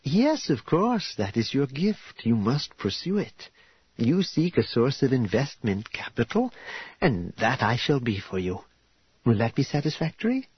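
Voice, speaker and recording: Man voicing a formal, self-assured noblewoman, measured and husky. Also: mid-range voice, sing-song, no echo, muffled recording, heavily acted. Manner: measured, archaic formal phrasing. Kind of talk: audiobook character voice.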